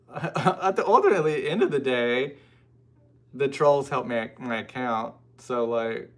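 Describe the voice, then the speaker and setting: A man's voice: mid-range voice, a bit bright. An American man hosting a casual livestream, chatting informally and rambling with frequent asides as he responds to viewers. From United States. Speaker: livestream host. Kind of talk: casual live chat, answering and reading out viewer comments.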